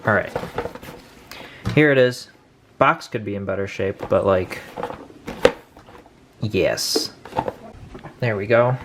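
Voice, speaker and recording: A man's voice: on the low side, slow, long pauses. A young man casually hosting an unboxing video, informal and prone to swearing. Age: young adult. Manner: informal, crude-joking, swearing. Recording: noisy room recording with clicks.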